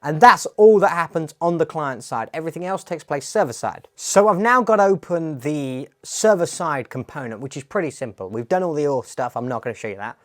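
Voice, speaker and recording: A man's voice: fairly high pitch, expressive, fairly quick, hardly any pauses. A man presenting a tech tutorial, explaining a demo in a plain-spoken, step-by-step way.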